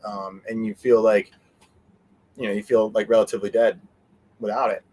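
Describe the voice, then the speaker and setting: A man giving a casual interview as a guest, animated and meandering, often trailing off mid-sentence. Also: lowish voice, moderate pace. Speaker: interview guest, fan convention organizer. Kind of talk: casual rambling interview chat.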